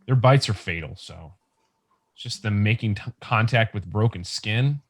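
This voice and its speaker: A man's voice: lowish voice, moderate pace. A man playing a nervous, squeamish survivor in a comic book table read, stammering and rambling. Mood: queasy, anxious. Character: nervous, squeamish, chatty male survivor of zombie apocalypse. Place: remote video call table read.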